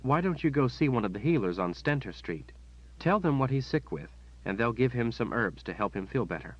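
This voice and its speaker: A man voicing a commanding young noble woman, calm and steely, speaking in a gentle but firm manner. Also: mid-range voice, fairly quick, highs cut off, pitched above the performer's own voice, natural voice.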